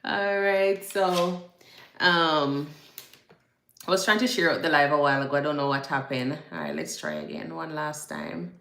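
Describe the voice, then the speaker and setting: A woman from Jamaica with a low voice chats casually on a livestream, greeting viewers by name and repeating herself often. She is a nurse. Her voice carries the echo of a room. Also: expressive, normal speed, a bit bright. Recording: echoey room.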